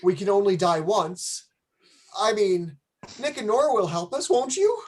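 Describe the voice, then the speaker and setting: A woman's voice: mid-range voice, animated, unhurried, darkish tone. A woman playing an anxious, hesitant wealthy young bridegroom in a radio play, speaking earnestly. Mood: worried, earnest.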